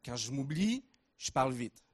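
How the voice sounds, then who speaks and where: A middle-aged man with a Québécois accent giving a conference lecture, relaxed and joking, with many fillers and restarts. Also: medium pitch, moderate pace. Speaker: invited lecturer at a training conference.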